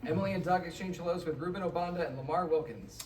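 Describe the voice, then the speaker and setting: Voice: mid-range voice, quick pace, a little mellow, distant mic, a little hiss or hum. A reader giving scripted stage directions at a comedy table read. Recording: echoey live room, off mic, audience.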